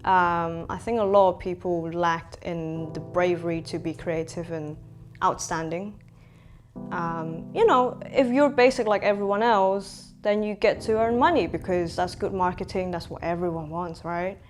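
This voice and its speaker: A woman in her twenties from Hong Kong, talking in a sit-down interview as a makeup artist, pausing often with "um" and laughing between thoughts. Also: fairly low pitch, steady tone. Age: 20s. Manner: reflective, frequent "um" fillers, laughs between thoughts. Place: bright room indoors, seated in armchair. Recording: music playing clearly behind.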